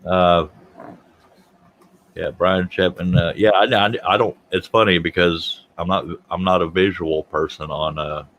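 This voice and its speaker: Man, 50s, low-pitched, self-deprecating livestream host; faint background hum. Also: steady tone, a little slow, a bit bright. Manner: joking, self-deprecating, rambling. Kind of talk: casual chat.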